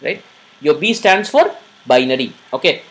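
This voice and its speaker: A man's voice: on the high side, lively intonation, moderate pace, fairly bright tone. A man teaching a live online programming class. He repeats each point and often checks that his listeners are following. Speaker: programming instructor.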